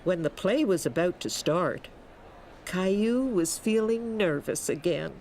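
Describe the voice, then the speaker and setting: A woman narrating a children's cartoon as a gentle storyteller, with music behind her. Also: on the low side, lively intonation, unhurried, slight background noise. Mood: storytelling. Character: gentle female storyteller narrating a small boy's day.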